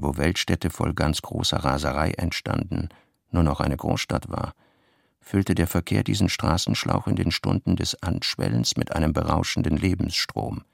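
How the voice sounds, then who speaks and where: A deep, gravelly brooding narrator. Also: male voice, no room sound, clean studio sound, exaggerated voice. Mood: measured narration. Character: brooding male narrator of a literary radio play. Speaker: literary narrator. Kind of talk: dramatic literary reading in a radio play.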